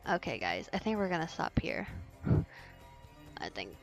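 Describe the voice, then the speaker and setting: A woman's voice: on the low side, sing-song, normal speed, vocal fry, faint music behind. A woman reading a visual novel aloud and chatting casually as she plays.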